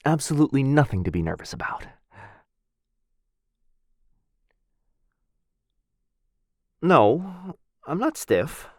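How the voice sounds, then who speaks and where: A man playing a shy, affectionate young dog-person roommate in a boyfriend ASMR roleplay, speaking in a soft, halting, flustered way with a breathy, husky voice. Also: fairly high pitch, big pitch swings, no room sound, studio quality. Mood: nervous, flustered.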